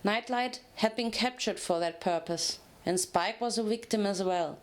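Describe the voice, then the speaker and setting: A woman narrates a piece of fan fiction in a medium-pitched voice. She reads as a storyteller would, in a dramatic scripted style, with an occasional stumble over the words. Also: moderate pace.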